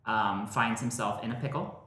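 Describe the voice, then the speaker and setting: A man's voice: on the low side, normal speed, bright, forceful tone, roomy sound. A man co-hosting a podcast, telling stories earnestly with dry humor. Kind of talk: casual comedic chat.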